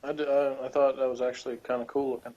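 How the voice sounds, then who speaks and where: A man's voice: mid-range voice, level tone, fast talker, darkish tone. A man co-hosting a podcast, giving casual, joking and sarcastic commentary on a TV episode. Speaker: podcast co-host. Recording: dull lo-fi recording.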